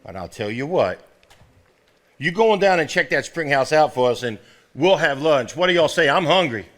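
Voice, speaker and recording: An actor playing a gruff, skeptical country man in a live stage play, with folksy, dismissive phrasing. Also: a little high, very animated, moderate pace, a bit bright. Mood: cajoling.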